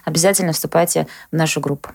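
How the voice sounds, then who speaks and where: A woman's voice: low voice, fairly even intonation, rapid-fire, vocal fry. A woman of twenty-nine co-hosting a podcast, chatting casually in a frank, self-deprecating way. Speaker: podcast co-host.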